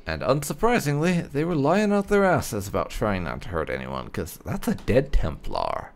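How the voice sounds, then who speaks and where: A man's voice: medium pitch, very animated, moderate pace, gravelly. A man giving gameplay commentary on a role-playing game, speaking bluntly and with some swearing. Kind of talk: gameplay commentary, partly in character.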